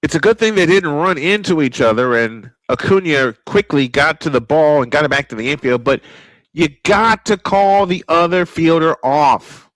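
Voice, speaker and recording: A man calling live baseball play-by-play, excitable and prone to repeating his points. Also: a little high, dry recording, low-quality recording. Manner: excitable, repeats points, quick calls of action. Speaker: play-by-play announcer. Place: home sports studio.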